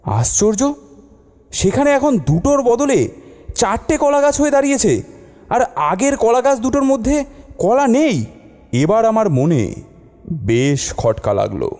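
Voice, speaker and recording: A man voicing a curious young nephew who narrates a cartoon adventure with expressive wonder. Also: very high-pitched, unhurried, long pauses, exaggerated voice. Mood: wondering narration. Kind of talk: animated cartoon storytelling.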